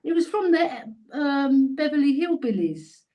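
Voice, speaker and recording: A woman's voice: high-pitched, expressive, measured pace, mellow. A middle-aged woman with a London accent, chatting casually and telling anecdotes. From London, England. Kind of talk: casual chat.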